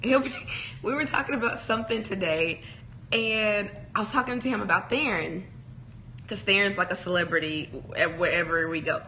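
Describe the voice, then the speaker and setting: A woman's voice: mid-range voice, normal speed, pushed and bright, a little hiss or hum. A young woman co-hosting a casual couple's chat show, rambling and playful with frequent giggles. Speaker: podcast co-host.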